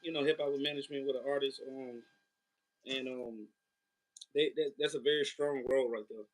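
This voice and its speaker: Casting: easygoing male host, mid-pitched voice, casual slang, relaxed chat. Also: fairly quick, poor audio. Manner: laid-back, slangy, many fillers. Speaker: interview host. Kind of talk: casual interview over a phone call.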